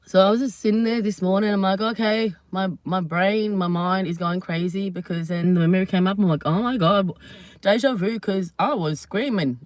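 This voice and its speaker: A woman hosting her own channel, chatting casually and at length to her viewers, slightly hoarse, rambling and laughing often. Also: medium pitch, moderate pace, darkish tone, no echo. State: hoarse from screaming. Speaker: channel host. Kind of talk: casual live vlog chat to viewers.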